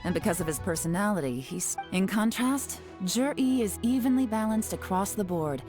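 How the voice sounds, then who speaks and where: A woman playing a composed, commanding intelligence operative in a video game, speaking in a cool, measured, authoritative way. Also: medium pitch, animated, over music, natural voice. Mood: formal, measured.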